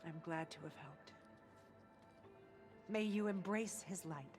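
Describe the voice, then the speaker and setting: A low-pitched female convert from a video game. She is devout and earnest, with a reverent, wistful way of talking that hesitates at times. Faint music plays underneath. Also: takes it slowly, a little mellow. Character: earnest, devout woman cult convert, former marine, wavering. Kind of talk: video game cutscene acting.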